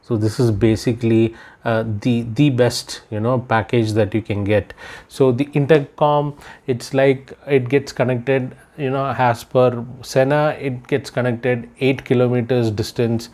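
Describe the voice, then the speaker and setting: A man's voice: mid-range voice, steady tone, measured pace, a little mellow. A man doing a casual unboxing vlog indoors, thinking aloud with lots of fillers as he handles the product. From India. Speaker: unboxing vlogger. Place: indoors, room with patterned floor rug.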